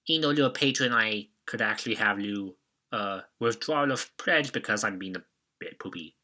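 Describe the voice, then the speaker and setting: A man's voice: fairly low pitch, moderate pace, heavy background noise. A man hosting a game retrospective, giving scripted commentary with casual asides in a jokey, mock-dramatic manner.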